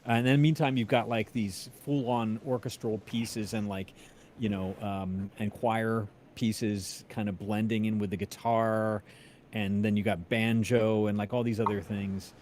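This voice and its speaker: A man co-hosting a music show, telling stories in a casual, animated, halting and slangy way. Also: on the low side, slight background noise. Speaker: co-host of a music interview show. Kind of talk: casual chat, enthusiastic storytelling.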